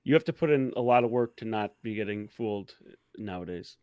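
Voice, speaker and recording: A man's voice: fairly low pitch, lively intonation, a little fast, dry recording, highs cut off. A man chatting casually as a co-host on a talk show, explaining his view in everyday words. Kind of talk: casual chat.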